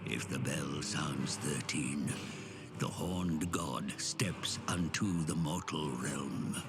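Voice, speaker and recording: A man voicing a grim, ominous narrator of dark fantasy game cutscenes, gravelly and theatrical, with breathy phrase endings. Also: rumbling low, full character voice. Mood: grave, menacing. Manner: slow, theatrical, portentous. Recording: loud music under the voice.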